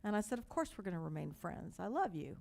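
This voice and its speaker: An older woman pastor from Texas with a light Texas accent, preaching a sermon with slow, emphatic repetition and storytelling asides. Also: fairly low pitch, sing-song, gravelly, a little mellow.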